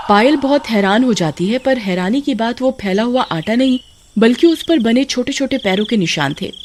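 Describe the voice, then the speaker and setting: A woman's voice: on the high side, darkish tone, a little hiss or hum. A woman narrating a scripted horror story.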